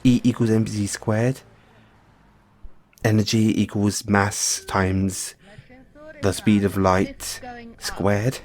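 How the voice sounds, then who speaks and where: A man playing a nervous, geeky mad scientist boyfriend in an audio roleplay, explaining things haltingly to his partner. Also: fairly low pitch, steady tone, darkish tone, a little hiss or hum. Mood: eager explaining. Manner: hesitant, stammering, explaining at length. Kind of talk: audio roleplay acting, addressing the listener as partner.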